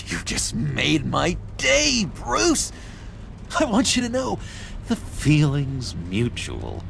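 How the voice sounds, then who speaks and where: An actor playing an eager, needy, unstable male sidekick in a video game, excitable and gushing. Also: fairly high pitch, drawn-out words, gravelly, slight background noise, full character voice. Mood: delighted. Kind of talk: animated video game acting.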